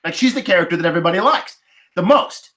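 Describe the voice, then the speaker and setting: A man with a bright, forceful voice and very lively pitch, chatting on a movie podcast. He argues his points with emphasis in a casual way. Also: quick pace. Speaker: podcast panelist. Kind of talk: casual film discussion.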